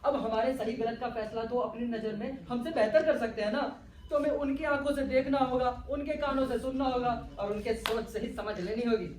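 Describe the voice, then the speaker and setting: Male actor as dreamy stubborn young man, romantic drawn-out theatrical delivery, echoey hall, faint noise. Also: very high-pitched, fairly even intonation, a little mellow, distant mic. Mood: earnest, firm. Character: dreamy, stubborn lovestruck young elder brother. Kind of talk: Hindi-Urdu stage play acting. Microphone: far from the actors.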